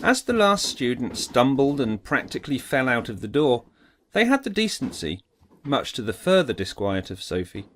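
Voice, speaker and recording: Male storyteller for audio drama, expressive pitch movement, dramatic scripted delivery, faint noise behind. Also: normal speed. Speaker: audiobook narrator.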